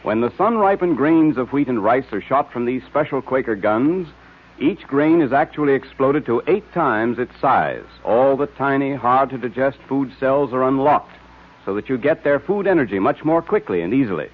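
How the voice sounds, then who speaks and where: A thin, tinny vintage radio recording of a male announcer. His medium-pitched voice has a husky, unclear edge as he reads in the scripted style of old serials. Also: animated, moderate pace. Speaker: announcer and narrator.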